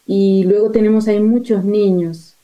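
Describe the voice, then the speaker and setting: A woman's voice: mid-range voice, fairly even intonation, moderate pace, mellow, dull audio. A woman missionary nun giving a spontaneous interview account, often hesitating and searching for words.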